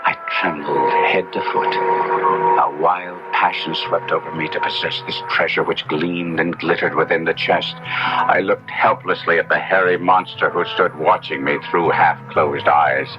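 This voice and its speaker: A man's voice: rumbling low, fairly even intonation, measured pace. An actor playing a proud, self-reproaching young nobleman brought to ruin, narrating formally and dramatically in a 1970s radio drama. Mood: awed. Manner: dramatic, formal, confessional storytelling. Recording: dull 1970s radio broadcast, music underneath.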